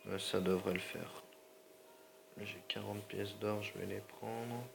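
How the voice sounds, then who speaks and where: A man's voice: on the low side, level tone, slow pace, vocal fry, noisy background. A man with a slightly sore throat and a stuffy nose, rambling in slangy, apologetic commentary over his gameplay. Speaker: gaming channel host.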